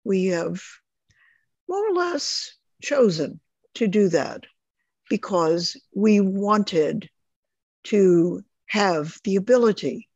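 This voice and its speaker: A woman leading a group discussion on a video call, speaking in a measured, thoughtful way. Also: medium pitch, lively intonation, darkish tone, breathy edge, no echo. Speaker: group facilitator. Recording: slightly muffled dry video call audio.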